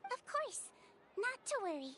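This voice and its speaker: A woman voicing a small, gentle creature nurse in a video game, earnest, composed and matter-of-fact. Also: very high-pitched, big pitch swings, unhurried, noisy background, full character voice. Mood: reassuring. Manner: patient, matter-of-fact, kindly.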